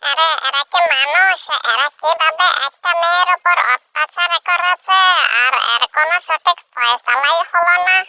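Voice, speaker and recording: A woman's voice: very high voice, moderate pace, gravelly, sharp tone, dry recording, full character voice. A woman voicing a curious village wife in a cartoon, pressing with questions. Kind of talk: cartoon voice acting.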